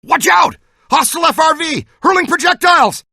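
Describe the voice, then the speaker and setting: A man's voice: very high voice, brisk, gravelly, bright, forceful tone, no room sound, clean studio sound, exaggerated voice. A man acting as an eager, talkative ghost-hunting character in a video game, earnest and full of technical jargon. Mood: urgent, alarmed. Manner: earnest, technical jargon, urgent call-outs.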